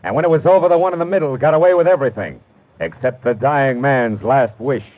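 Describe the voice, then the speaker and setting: An American actor playing a wry, tough private detective in an old radio drama, with punchy hard-boiled delivery. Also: male voice, medium pitch, fast talker, very noisy, lightly acted. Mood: dramatic narration. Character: wry, tough male private detective telling his case. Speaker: lead actor and narrator. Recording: muffled narrowband old radio, music behind.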